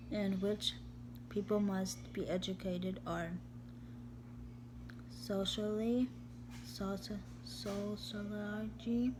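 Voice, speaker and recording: Slow, halting woman over a humming background. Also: mid-range voice. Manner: slow, halting delivery with repeated words and restarts; steady, even tone. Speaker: vlogger. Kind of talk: reading a text aloud to camera. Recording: steady background hum.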